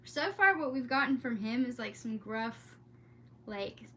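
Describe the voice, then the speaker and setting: A woman's voice: on the high side, lively intonation, unhurried, a bit bright, slight background noise. A woman streamer chatting in a casual, rambling, exclamatory way, easily sidetracked. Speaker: streamer hosting a read-aloud. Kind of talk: casual rambling vlog chat.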